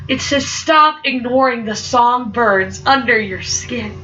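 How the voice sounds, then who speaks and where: Young woman, spoken word poet, stutters, high bright voice, slow, muffled and distant. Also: soft background music. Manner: stutters, with emphatic rhythmic delivery. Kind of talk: spoken word poetry performance. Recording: muffled, from far off.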